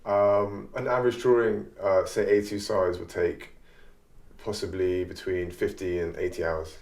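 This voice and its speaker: A man's voice: low voice, flat delivery, measured pace, darkish tone. A man, an artist, talking casually about himself in an interview. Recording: echoey room.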